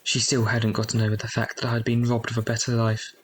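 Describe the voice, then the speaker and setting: A man's voice: mid-range voice, monotone, fast talker, a little hiss or hum, natural voice. An actor playing a wistful narrator recalling his boyhood in an audio drama. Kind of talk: scripted first-person narration.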